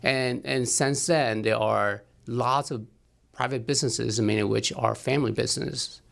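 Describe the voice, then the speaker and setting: Hesitant man from China, gravelly voice. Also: medium pitch, fairly even intonation, normal speed, fairly bright tone. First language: Chinese. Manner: hesitant, many restarts and fillers. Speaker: anthropology professor guest. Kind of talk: studio interview.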